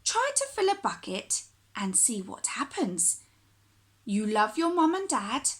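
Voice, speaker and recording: A woman with a fairly high voice reads a picture book aloud for young children. Her pace is unhurried and her pitch swings widely from phrase to phrase. She phrases deliberately and expressively, in the manner of a primary school teacher. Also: very animated.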